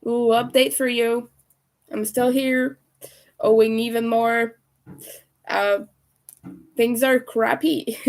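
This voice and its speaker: A young woman with a raspy, hoarse voice, chatting casually with viewers as she crochets on a livestream. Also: fairly high pitch, a little mellow. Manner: chatty, talks to viewers while working. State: hoarse from streaming a lot. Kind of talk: casual chat while crocheting live.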